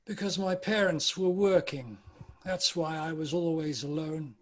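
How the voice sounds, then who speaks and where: Man acting old miser, high-pitched voice, frightened, stubborn, amateur drama table read. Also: a little slow, lightly acted. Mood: shaken, wistful.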